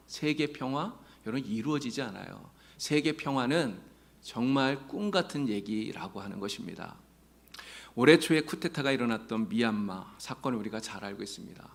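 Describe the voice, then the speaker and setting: Man, pastor, gravelly voice, mid pitch, earnest sermon, church sanctuary. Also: a bit bright. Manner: earnest, addresses congregation directly; tells folksy jokes between teaching.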